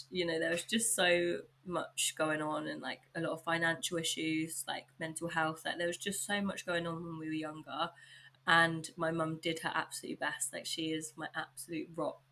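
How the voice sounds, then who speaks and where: Young english girl podcasting, bit husky from a sore throat, lowish voice, lots of likes and you knows. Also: little pitch movement, normal speed, sharp tone. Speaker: podcast host. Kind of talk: casual solo podcast chat.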